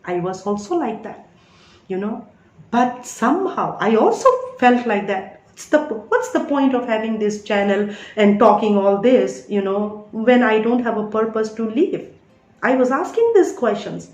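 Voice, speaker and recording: A woman's voice: a little high, lively intonation, unhurried, darkish tone. Emphatic woman in an echoey room. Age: 30s. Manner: emphatic, repeats "all right?" to the listener. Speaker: tarot reader. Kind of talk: spiritual monologue.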